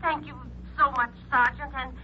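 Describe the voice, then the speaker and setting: A woman's voice: high voice, fairly even intonation, moderate pace, bright, forceful tone. A woman playing an anxious, timid, middle-aged mother in a 1940s radio drama, hesitant and grateful. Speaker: actress in a radio detective series. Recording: dull, noisy 1949 radio recording.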